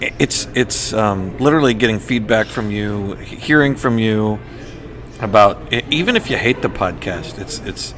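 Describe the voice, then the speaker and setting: A man hosting a podcast in casual chat, enthusiastic and somewhat halting, often repeating words. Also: lowish voice, a bit bright. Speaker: podcast host. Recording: faint background noise.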